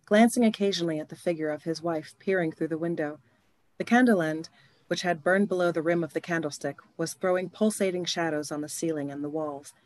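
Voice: mid-range voice, no echo, natural voice. An actor playing a grave, suspenseful storyteller, narrating a horror tale for a radio play in a scripted dramatic style.

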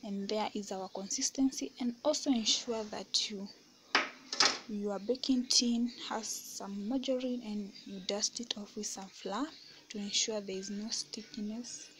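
Woman doing a home baking vlog, talks slow and soft, kinda whispery, noisy kitchen recording. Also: mid-range voice, fairly even intonation. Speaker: baking vlogger. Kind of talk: casual kitchen voice-over.

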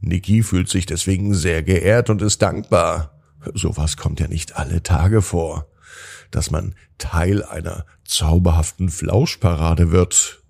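A man's voice: deep voice, creaky, a little mellow. A man narrating a children's bedtime story in a soothing manner, drawing out words for emphasis.

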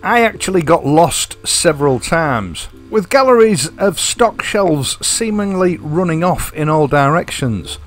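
A man giving a chatty, scripted voice-over as the host of a vlog. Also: fairly high pitch. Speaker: host and narrator of a motorcycle channel. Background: background music.

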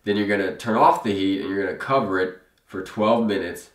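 A man hosting a casual food review, talking in an upbeat, playful way in a studio room. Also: fairly quick. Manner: upbeat, playful, lively pitch swings. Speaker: channel host. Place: dark studio room, indoors. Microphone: lapel mic. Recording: echoey room, mic off at a distance.

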